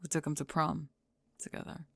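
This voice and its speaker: A woman playing a steady, blunt, dryly teasing teenage bully girl in an ASMR audio roleplay, calm and deadpan. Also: low voice, fairly quick, gravelly, husky, no echo, exaggerated voice. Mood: dry, matter-of-fact.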